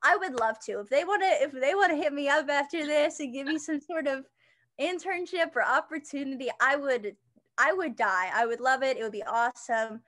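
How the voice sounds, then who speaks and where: A young woman with a high-pitched voice, chatting as an interview guest, with many fillers and restarts. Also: a little fast, fairly bright tone. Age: young adult. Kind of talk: casual interview chat.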